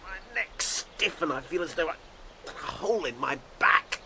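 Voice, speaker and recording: An actor with an English accent playing a wry, brisk gentleman hobbit in a radio drama, expressive in manner. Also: fairly high pitch, heavy background noise, lightly acted. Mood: grumbling. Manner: animated, expressive.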